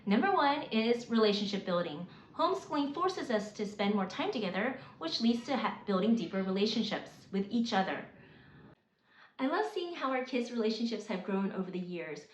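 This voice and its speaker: A woman in her forties, raised in Mississippi, working through a prepared list of reasons in an earnest, measured way with occasional restarts. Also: on the high side, big pitch swings. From South Korea, raised in Mississippi. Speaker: homeschooling mom. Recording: roomy, slightly dull, faint hiss.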